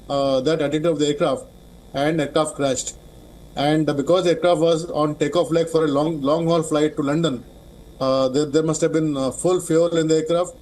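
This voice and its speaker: Male expert guest for news interview, Indian accent, fairly high register, halting and repetitive phrasing. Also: darkish tone, rough recording. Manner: hesitant, halting, with repeated words.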